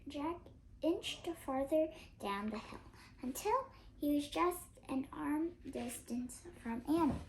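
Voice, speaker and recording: A young girl of about eight reads a children's story aloud in a high voice that swings up and down. She goes slowly and carefully, sometimes halting over words. There is clear noise in the home recording. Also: lively intonation, a little mellow. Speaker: young reader. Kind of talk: expressive storybook read-aloud.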